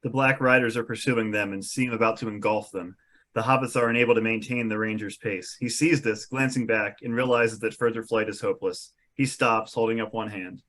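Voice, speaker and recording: A man's voice: on the low side, steady tone, a little fast, a bit bright. A man reading scene descriptions as the narrator of a script table read.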